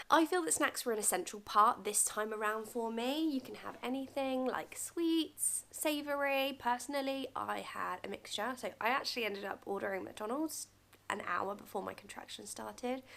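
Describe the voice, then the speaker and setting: Young woman vlogger with a touch of fry, casual and chatty, filmed at home. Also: medium pitch, fairly bright tone. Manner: chatty, friendly, direct to camera. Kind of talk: casual advice vlog. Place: bedroom at home, indoors.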